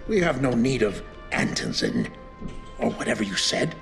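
Solemn, gravelly village leader. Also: male voice, mid-range voice, huge pitch swings, normal speed, fairly bright tone, soft background music, heavily acted. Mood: devout, stern. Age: middle-aged. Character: wary, devout middle-aged male village leader. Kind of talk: video game acting.

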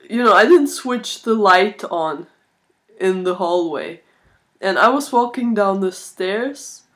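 A woman in her twenties chatting casually to camera in a halting, thoughtful way, recorded on a laptop microphone in a small room. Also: mid-range voice. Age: 20s. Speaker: video blogger, art student. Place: small furnished room indoors. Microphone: laptop built-in microphone at arm's length.

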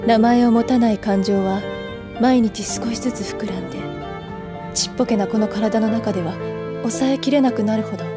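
A woman's voice: a little high, lightly acted. A woman voicing a melancholy, introspective young woman, music behind. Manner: solemn, deliberate. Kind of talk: literary monologue in audio drama.

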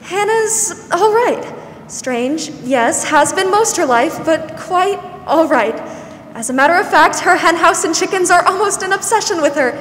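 A woman's voice: very high voice, expressive, measured pace, fairly bright tone, full character voice. A woman acting a kindly, patient older country spinster in a 1940s-style radio drama. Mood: chatty. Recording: roomy stage hall, faint noise.

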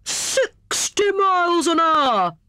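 A woman voicing an excitable, pompous male hare in a children's storybook, with exclamatory, drawn-out, self-important declaiming. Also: sits high, no echo, clean studio sound, pitched above the performer's own voice. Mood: breathless excitement. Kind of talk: children's storybook character reading.

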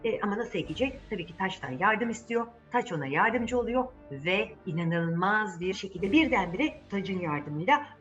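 A woman's voice: mid-range voice, animated, normal speed, few pauses, a bit bright. A woman telling a story to children in a conversational way, often putting questions to her listeners. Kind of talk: animated storytelling addressed to children. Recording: muffled audio with music behind.

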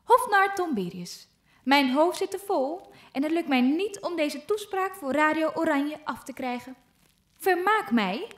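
A woman's voice: high-pitched, expressive, a little slow. A young woman playing a haughty, bored, callous queen in a 1950s-style radio play, imperious and stately. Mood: impatient, commanding. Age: young adult. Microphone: close studio condenser microphone. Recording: roomy sound with faint noise.

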